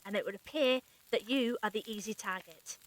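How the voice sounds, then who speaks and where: A woman's voice: medium pitch, a little fast, close to an everyday voice, poor audio. A woman acting a stern, clinical medic with formal, precise speech in an audio drama. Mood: stern, cold.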